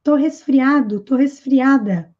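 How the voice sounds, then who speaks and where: Woman, 30s, English teacher, high voice, careful slow repetition, muffled webcam sound. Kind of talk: online language lesson.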